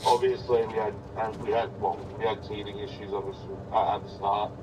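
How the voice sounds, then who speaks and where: A man talking casually and haltingly as a livestream co-host. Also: level tone. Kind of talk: casual chat. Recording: distant voice, clear vehicle rumble.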